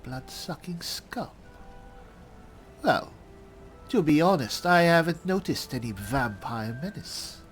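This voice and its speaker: Slow, wry woman roleplaying a game. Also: sits low, lively intonation, soft background music. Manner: musing, wry, theatrical. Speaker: roleplaying game player, host. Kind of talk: in-character video game roleplay commentary. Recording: faint background noise, game ambience.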